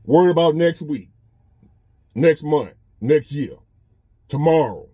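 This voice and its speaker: A man in his fifties with a drawl speaks earnestly from inside a parked truck, going over the same points again and again in a plain, conversational way. His voice is dark and mellow, and the sound is dry and close, with no echo. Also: fairly high pitch, lively intonation, slow pace, slightly rough, lo-fi sound. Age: 50s. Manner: earnest, repetitive, conversational preaching. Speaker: vlogger. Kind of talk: casual motivational monologue.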